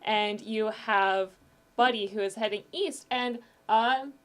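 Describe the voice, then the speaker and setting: Woman guest, mid pitch, casual explainer with fillers. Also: slow pace, fairly bright tone. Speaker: guest, audio drama creator. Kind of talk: casual chat.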